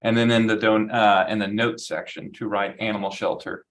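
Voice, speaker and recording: A man chairing a city advisory council meeting, brisk, procedural and polite. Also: fairly low pitch, level tone, a bit bright.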